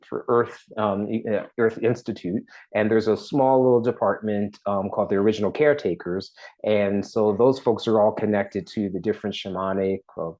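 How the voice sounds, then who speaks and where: A man in his forties from the East Coast talks with a fairly low voice, restarting his sentences often as he thinks aloud. His delivery turns lively when a topic excites him, then settles back down. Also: rough recording. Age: 40s. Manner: halting, many restarts, lively when enthused. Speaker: documentary artist guest. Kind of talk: casual interview talk.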